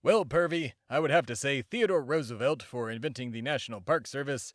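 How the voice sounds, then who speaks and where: A man's voice: mid-range voice, fairly quick, no echo, clean studio sound, close to an everyday voice. A man playing a bombastic, self-important immortal embodiment of America in a comedy podcast monologue. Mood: smug. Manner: pompous, shouting announcer bursts, rambling asides.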